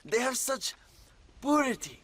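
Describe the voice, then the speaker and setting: An actor playing a vain, lecherous Mexican revolutionary leader in a video game, with a Mexican accent and pompous, theatrical delivery. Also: male voice, very high voice, very animated, a little slow, fairly bright tone, slight background noise, heavily acted. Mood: boastful, scornful. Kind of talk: video game cutscene acting.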